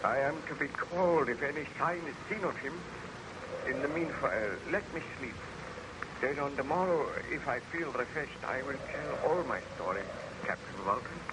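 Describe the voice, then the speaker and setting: An actor playing an exhausted, haunted baron with solemn, theatrical delivery in an old radio serial. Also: male voice, mid-range voice, expressive, moderate pace, noisy background, lightly acted. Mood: drained, resolute. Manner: theatrical, solemn, dramatic phrasing. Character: exhausted, haunted baron obsessed with destroying his monster. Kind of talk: 1930s-40s radio serial acting.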